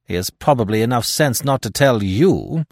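A man's voice: medium pitch, lively intonation, moderate pace, dry recording, studio quality, pitched above the performer's own voice. A man playing an arrogant male police detective who speaks rudely and with contempt. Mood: scornful. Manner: rude and disdainful.

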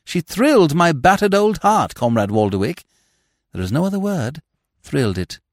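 A man voicing a suave, unflappable gentleman in an audiobook, blandly cordial and grandiose in his florid speech. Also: fairly high pitch, big pitch swings, moderate pace, no echo, clean studio sound, voice pushed up. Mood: grandly earnest. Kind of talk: audiobook character voice.

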